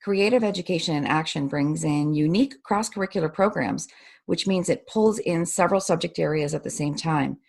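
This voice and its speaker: A woman with a fairly low voice hosts an interview. She sounds composed and guides her guest with leading, tag-ended questions. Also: brisk, gravelly, darkish tone. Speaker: interview host.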